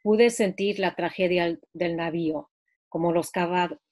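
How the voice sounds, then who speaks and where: Brief: woman, lowish voice, steady measured reading from her book, home video call. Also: noisy background.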